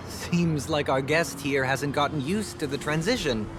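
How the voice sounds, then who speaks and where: An actor playing a calm, polished gentleman host in a video game, speaking in a courteous, formal way. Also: on the high side, measured pace, over music, close to an everyday voice. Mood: affable, reassuring.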